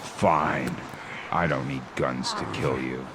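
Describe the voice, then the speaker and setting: An actor playing a grim, vengeful vigilante who narrates a video game in a hard-boiled, deadpan, menacing style. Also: male voice, rumbling low, slow pace, background noise. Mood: cold, terse. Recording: music under the voice.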